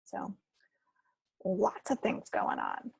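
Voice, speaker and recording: A woman's voice: mid-range voice, wildly swooping pitch, slow pace, vocal fry, darkish tone, slightly rough, no room sound. An American woman chatting casually as a podcast co-host. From United States. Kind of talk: casual chat.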